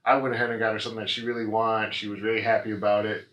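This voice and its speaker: A man's voice: lowish voice, flat delivery, racing, fairly bright tone, muffled recording. A young American man chatting casually as a vlogger, explaining things in a rambling way. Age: young adult. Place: small living room, on a couch. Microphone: camera mic a few feet away. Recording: roomy echo, noisy camera audio.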